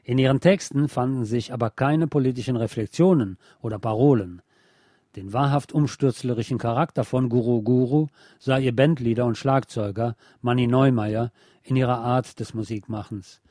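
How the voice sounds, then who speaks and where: A man narrates a radio feature with a soft, dark tone and medium pitch. His delivery is measured and factual, reading polished script in a very dry studio sound. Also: no echo.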